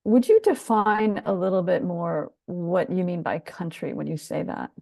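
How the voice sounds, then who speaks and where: A woman's voice: fairly low pitch, big pitch swings, normal speed, vocal fry, dark tone, dry recording, studio quality. A woman with an American accent, interviewing in a conversational way. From United States.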